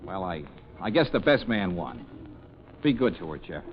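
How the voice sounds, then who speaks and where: A man's voice: low voice, big pitch swings, normal speed, a little hiss or hum. An actor with an American accent playing a flustered, hesitant lovelorn man in 1940s radio comedy style. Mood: dejected, resigned. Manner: hesitant, exclaiming, conversational. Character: George Valentine, flustered lovelorn man turning gracious. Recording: muffled old radio broadcast recording.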